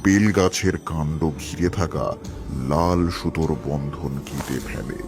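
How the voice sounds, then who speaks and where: A man narrating an animated ghost folktale and playing a grave tantric priest, in a solemn, measured scripted delivery. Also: low voice, exaggerated voice.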